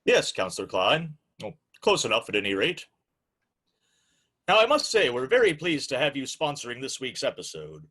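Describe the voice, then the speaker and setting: A man's voice: medium pitch, very animated, normal speed, bright, forceful tone, slightly rough, heavily acted. A man playing a formal, courteous, easily flustered host of an old-time radio show, with theatrical delivery. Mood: formal, welcoming.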